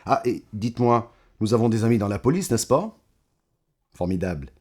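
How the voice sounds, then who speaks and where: An actor playing an older corrupt mayor and crime boss in an audio drama, measured, sardonic and condescending, with menace under false courtesy. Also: male voice, lowish voice, expressive, mellow. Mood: polite, businesslike.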